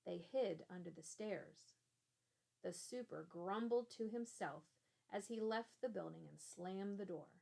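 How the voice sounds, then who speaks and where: A woman teacher reading a children's storybook aloud in a deliberate, expressive way. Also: mid-range voice, gravelly.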